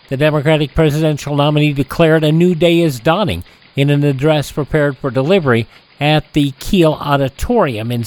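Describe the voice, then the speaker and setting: A man hosting a classic radio show, reading old newspaper headlines in a steady newsreader delivery with small slips and self-corrections. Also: on the high side, rough recording.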